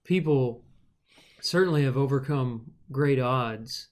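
A man's voice: medium pitch, slow. A man co-hosting a Bible study discussion, reasoning through the text and posing rhetorical questions. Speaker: Bible study podcast co-host.

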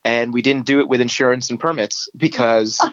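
A man's voice: medium pitch, a little fast, no room sound. Man, American accent, filmmaker, casual chat, dry, muffled. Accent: standard American. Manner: animated, loose, swears casually. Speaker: filmmaker guest.

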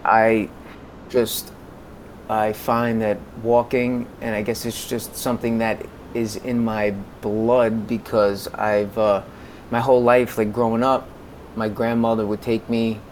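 American male, 40s, podcast guest. Lowish voice, halting conversational delivery, noticeable background noise. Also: level tone, darkish tone. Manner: halting, repeats words as he goes. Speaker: podcast guest, music consultant. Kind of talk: conversational interview.

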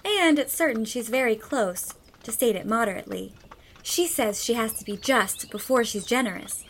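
Storybook teenage girl, played by a woman: frank gossip, knowing and chatty, highish pitch, faint noise behind. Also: normal speed, a bit bright. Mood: gossipy, knowing. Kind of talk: audiobook character dialogue.

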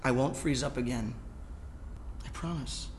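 A man's voice: mid-range voice, a little slow, natural voice. The voice is an actor playing a guilty young man trying to placate his lover. He is earnest and hesitant in a stage drama, with faint noise behind him. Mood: apologetic. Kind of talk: live stage reading of a short play. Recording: faint noise at live reading.

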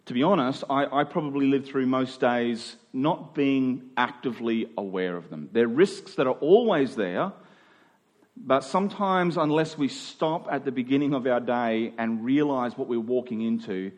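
A man speaks as a pastor in a conversational sermon. His voice sits at an average male pitch. He feels his way through sentences, rephrasing as he goes and repeating phrases to drive them home. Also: a little mellow. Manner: halting, thinking aloud, with rephrasing and repetition for emphasis. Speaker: pastor, preacher.

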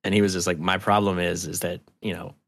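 A man talking casually as a podcast guest, telling anecdotes in an informal, halting way. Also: sits low, flat delivery, creaky. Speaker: podcast guest, audio drama creator. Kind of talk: casual conversational storytelling.

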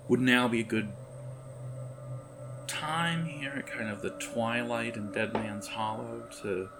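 A man improvising narration as game master of a tabletop horror game, halting as he searches for words. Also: mid-range voice, vocal fry, over loud music.

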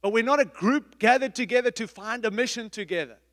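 A middle-aged man, a pastor, preaching a sermon in an emphatic, repetitive style with big swings in loudness. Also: extremely high pitch, brisk, long reverb tail. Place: church.

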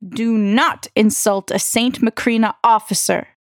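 A woman's voice: on the high side, steady tone, measured pace, dry recording, clean studio sound. A woman voicing a firm, no-nonsense police officer who speaks curtly in an audio drama. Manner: firm, curt.

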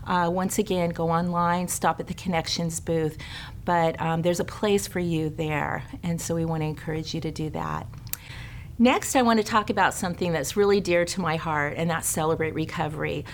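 A middle-aged woman on the lower side of the female range gives the church announcements. She sounds earnest and inviting as she runs through classes and events. A faint low rumble sits under her voice. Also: moderate pace. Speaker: care ministry director.

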